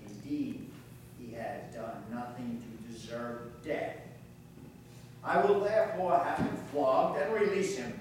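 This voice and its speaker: Man reading as a deliberate governor, very echoey. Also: mid-range voice, lightly acted. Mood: firm, judicial. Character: stern male governor pleading an accused man's innocence. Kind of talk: dramatic scripture reading in church. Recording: echoey church, distant mic, faint noise.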